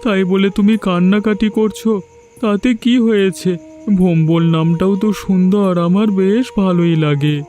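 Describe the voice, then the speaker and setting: A man's voice: high-pitched, steady tone, moderate pace, warm tone, soft background music, close to an everyday voice. A man voicing a simple, childlike village boy in a cartoon, naive, earnest and devoted to his mother. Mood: tender, consoling. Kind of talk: Bengali fairy tale cartoon dubbing.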